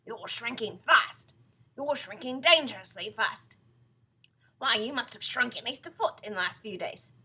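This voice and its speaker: A woman voicing a cruel older husband from a children's storybook, who speaks in emphatic, drawn-out, mock-solemn pronouncements. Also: a little high, expressive, pushed and bright, breathy edge, heavily acted. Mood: taunting. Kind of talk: children's storybook character reading.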